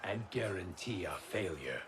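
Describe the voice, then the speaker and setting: Measured, scheming game sorcerer. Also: male voice, low voice, expressive, background noise. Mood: cool, urgent. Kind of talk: video game cutscene acting. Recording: loud cinematic score behind.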